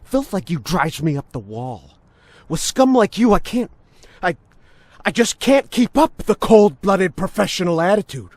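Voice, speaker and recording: An unhinged veteran cop with a high-pitched voice that swings wildly. He is mocking and theatrical, bullying like a game villain, moving from soft menace to shouts. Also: sing-song, a little slow, no room sound, heavily acted. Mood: simmering contempt. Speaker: voice actor in a video game. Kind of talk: dramatic video game cutscene acting.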